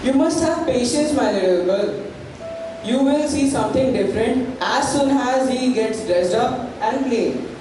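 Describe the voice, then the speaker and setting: A woman's voice: a little high, expressive, measured pace. A woman playing an insistent, fatherly older male ironmaster in an amateur stage play. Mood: fatherly, reassuring. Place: school stage or hall. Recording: very echoey hall, distant mic, noisy.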